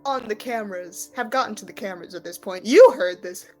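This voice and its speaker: A woman's voice: medium pitch, a little fast, a little mellow, soft background music, poor audio. A woman game master who improvises narration for a tabletop game and explains the scene in a conversational way.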